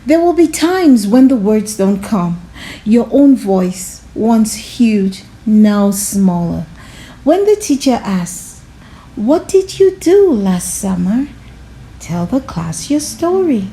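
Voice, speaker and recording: Female storyteller, mid-range and soft-toned, unhurried and gentle, for children's picture book read-alouds. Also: mid-range voice, very animated, rough recording.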